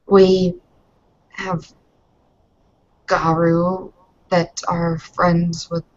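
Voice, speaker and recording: A woman speaking haltingly and casually as a player in a tabletop roleplaying game, on a dull recording. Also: lowish voice, little pitch movement.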